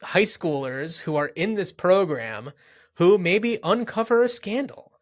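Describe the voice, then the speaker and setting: A man's voice: sits high, expressive, a little slow. A man co-hosting a podcast, discussing movies casually and thinking aloud in a loose, conversational way. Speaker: podcast co-host.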